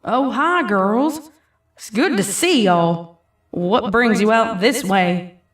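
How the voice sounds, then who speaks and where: A woman voicing a country farm pony mare with a slow, sweet drawl that sounds eerie. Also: mid-range voice, animated, recorded from a distance. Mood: eerily friendly.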